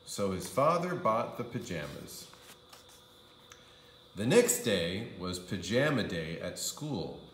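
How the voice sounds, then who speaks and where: A man reading a children's story aloud as a kindergarten teacher, with expressive, drawn-out emphasis on key phrases. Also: lowish voice, roomy sound, a little hiss or hum. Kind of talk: animated storybook narration for young children.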